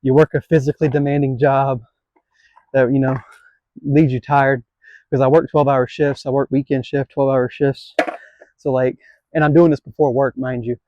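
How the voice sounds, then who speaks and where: A man's voice: medium pitch, level tone, normal speed, no echo, muffled recording. A man in his late twenties filming a casual skateboarding vlog, rambling and self-deprecating, swearing now and then. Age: 20s. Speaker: skateboarding vlogger.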